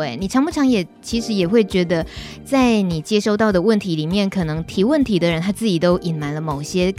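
A woman's voice: lowish voice, darkish tone. A woman with a Taiwanese Mandarin accent hosting a casual radio talk show in a chatty, teasing way. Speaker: radio host. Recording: music playing behind the voice. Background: background music.

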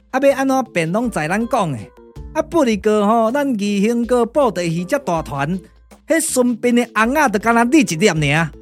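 Comic younger-brother trickster, Taiwanese accent, excitable, exclamatory, boastful, glove-puppet radio drama, light background music. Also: male voice, sits high. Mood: complaining. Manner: chatty, exclamatory, full of interjections.

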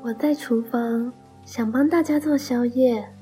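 Casting: soft-natured young female student, played by a woman, high, mellow voice, radio mystery peacemaker. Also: fairly even intonation, unhurried, soft background music, close to an everyday voice. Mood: hesitant. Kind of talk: mystery radio drama acting.

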